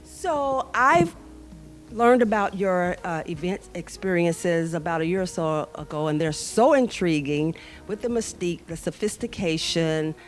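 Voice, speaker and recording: A woman's voice: lowish voice, measured pace, faint music behind. A woman hosting a local TV talk show, interviewing guests in an upbeat, enthusiastic manner. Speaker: TV show host. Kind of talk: friendly interviewing.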